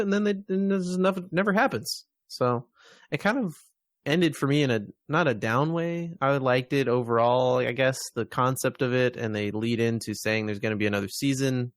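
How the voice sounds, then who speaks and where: A man's voice: medium pitch, dry recording. A man hosting a podcast, giving his opinions in informal review chat and thinking aloud with tag questions. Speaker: podcast host.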